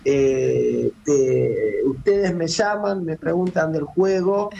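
A middle-aged man from Cape Verde, his voice a bit high for a man and rough with vocal fry. He talks casually as a guest on a phone call, hesitating, restarting and filling pauses. A faint hiss sits under his voice. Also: dark tone. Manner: hesitant, many restarts and fillers. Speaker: former footballer, phone guest. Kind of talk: casual radio interview.

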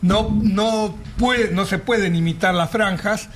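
A man's voice: high-pitched, slow, a little hiss or hum. A man with an Argentine Spanish accent in a casual chat, speaking earnestly and tripping over his words.

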